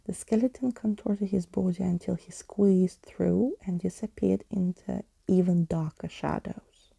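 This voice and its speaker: Very soft whispering woman reading a story. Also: medium pitch, steady tone, measured pace, vocal fry, breathy edge, dry recording. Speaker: ASMR host reading a story aloud. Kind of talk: whispered ASMR storybook narration.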